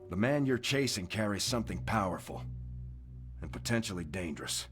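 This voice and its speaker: An actor playing a grizzled older occult hunter in a video game cutscene, gruff, brooding and portentous. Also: male voice, fairly low pitch, expressive, brisk, a bit bright. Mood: grave explaining. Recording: music under the voice. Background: music.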